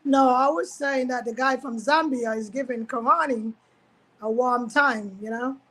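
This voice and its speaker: A woman's voice: high voice, a little slow, fairly bright tone, poor audio. A woman co-commentating on sport in casual chat, with an insistent, bantering way of talking.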